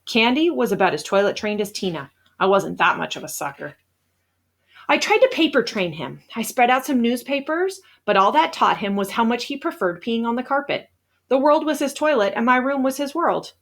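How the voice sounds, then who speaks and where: Female read-aloud narrator for a children's novel; mid-pitched, expressive storyteller delivering scripted first-person prose. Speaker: storybook reader.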